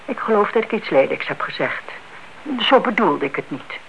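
An actress playing a fretful, suspicious older aunt in a Dutch radio play, speaking politely and anxiously. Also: female voice, lowish voice, lively intonation, normal speed, vocal fry, fairly bright tone. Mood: confessional. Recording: dull, hissy old radio recording.

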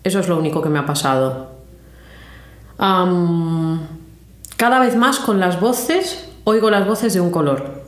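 Low-pitched Spanish woman in a roomy space. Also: unhurried, long pauses. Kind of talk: casual reflective conversation. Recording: roomy echo with music underneath. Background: music.

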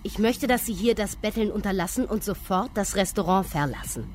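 An actress playing a matter-of-fact restaurant employee in a radio play, speaking formally. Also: female voice, mid-range voice, a little fast, slight background noise, lightly acted. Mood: firm. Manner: formal, firm.

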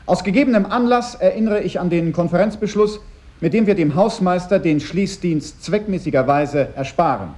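A man's voice: sits high, moderate pace, darkish tone. A man playing an officious school head who chairs a meeting in stiff, pedantic officialese for a radio drama. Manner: bureaucratic, pedantic, stiffly formal. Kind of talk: radio drama acting, formal agenda speech.

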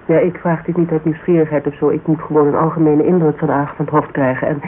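Actor as formal Dutch interviewer, higher-pitched man. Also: flat delivery, lightly acted. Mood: probing, matter-of-fact. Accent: Dutch, Netherlands. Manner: formal, measured questioning. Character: polite, probing male interviewer, formal and discreet. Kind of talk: naturalistic radio drama dialogue. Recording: narrow, hissy old radio recording.

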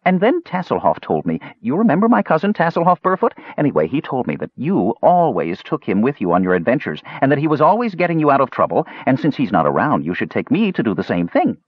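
A man's voice: mid-range voice, huge pitch swings, quick pace, few pauses, slightly rough, no room sound, muffled recording, pitched above the performer's own voice. A man voicing a small, cheerful, talkative kender who rambles eagerly, as an audiobook character. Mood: pleading, chattering. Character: small cheerful chattering kender, pesky and indignant.

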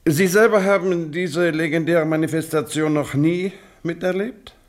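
An actor playing a stern, insistent English police investigator in a German radio drama, questioning in formal, pointedly courteous phrases. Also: male voice, fairly high pitch, fairly even intonation, moderate pace, natural voice. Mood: politely probing. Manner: formal, pointed questioning with mock-polite address.

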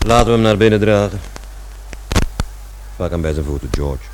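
An actor playing a terse, grim eldest son in a radio drama, speaking bluntly and curtly. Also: male voice, fairly low pitch, animated, normal speed, noisy background, lightly acted. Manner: blunt, curt.

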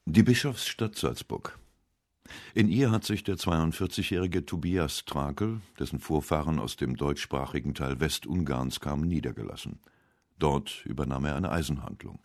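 A man reading scripted narration for a radio essay in a measured, literary way. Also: rumbling low, a little mellow, no echo, studio quality.

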